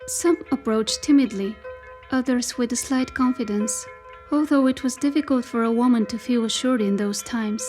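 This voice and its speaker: A woman performing as the storyteller of a dystopian folk tale, reading scripted literary narration in a measured, descriptive way. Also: fairly high pitch, darkish tone, faint music behind, lightly acted.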